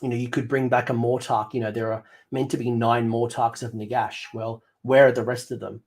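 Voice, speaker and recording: A man with a voice going hoarse chats casually on his hobby livestream. He thinks aloud, with frequent ums and false starts, at an ordinary middle pitch. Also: level tone, brisk, darkish tone. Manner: rambling, thinks aloud with many ums and restarts. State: losing his voice. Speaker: livestream host.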